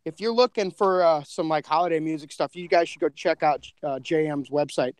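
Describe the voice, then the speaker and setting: A man's voice: on the high side, fairly quick, clear, resonant tone, no room sound. A man hosting a podcast, talking casually and informally with a group in slangy, chatty phrasing. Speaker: podcast host and co-creator. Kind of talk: casual group chat about a horror podcast.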